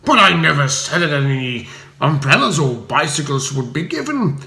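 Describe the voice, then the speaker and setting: A man's voice: on the high side, very animated, moderate pace, bright, forceful tone, full character voice. Pompous lord man acting, flustered bluster, emphatic, storybook villain, roomy echo. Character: haughty blustering English lord trying to sound tough.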